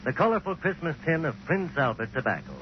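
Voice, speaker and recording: A man's voice: on the high side, big pitch swings, quick pace. A man reading a 1940s radio advertisement as announcer, with an emphatic sales delivery. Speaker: commercial announcer. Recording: muffled, hissy 1940s radio recording.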